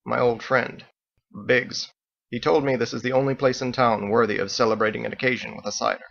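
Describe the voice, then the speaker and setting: A man playing a wry, courteous, ageless sailor captain in an audiobook story, speaking in a measured, courtly way. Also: mid-range voice, flat delivery, creaky, fairly bright tone, dull audio.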